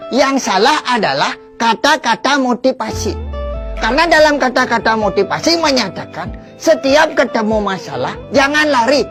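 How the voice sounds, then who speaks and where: A woman with an Indonesian accent giving a mock-serious satirical comedy monologue built on punchlines. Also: on the high side. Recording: prominent music behind voice. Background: music.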